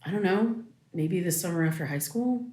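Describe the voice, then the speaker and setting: Casting: actress for exasperated, worn-out young woman, slightly low voice, guarded casual delivery, roomy echo, audio drama. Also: lively intonation, warm tone, natural voice. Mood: hesitant. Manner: halting, casual, defensive. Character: exhausted, guarded, exasperated young adult daughter. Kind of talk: naturalistic audio drama dialogue. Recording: echoey room.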